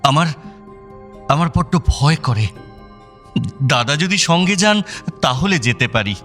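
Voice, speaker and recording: A timid, worried father in a radio drama. He is hesitant and deferential, with a bright, highish, expressive voice over faint music. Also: unhurried. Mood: nervous. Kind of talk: Bengali radio drama acting.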